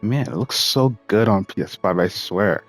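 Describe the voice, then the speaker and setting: A man's voice: on the low side, moderate pace. A man giving casual, blunt, slangy commentary while he plays a video game. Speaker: streamer playing a game. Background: game music.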